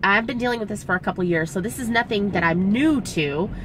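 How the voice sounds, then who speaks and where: A Texan woman in her forties talks to her viewers from behind the wheel, chatty and folksy, her voice a little raspy from a cold. Her voice sits in an ordinary middle register. Heavy road and engine noise rumbles under everything she says. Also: a little fast. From Texas. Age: 40s. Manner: chatty, folksy, laughs easily. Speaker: gardening vlogger. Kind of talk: casual chat to camera. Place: inside a moving car.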